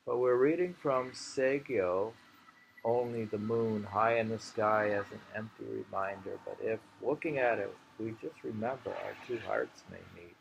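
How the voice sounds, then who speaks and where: A man talks very slowly in a fry-laden voice, casually sharing and musing on poetry. He meanders from thought to thought, with some background noise on the amateur recording. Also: fairly low pitch, muffled recording. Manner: rambling, meandering, musing aloud. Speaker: poetry reader and host.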